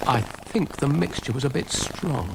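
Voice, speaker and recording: A man's voice: mid-range voice, normal speed, vocal fry, very noisy, pitched above the performer's own voice, close to an everyday voice. A man voicing a grumpy, self-important male creature who schemes like a mad scientist in a children's story, muttering pompously.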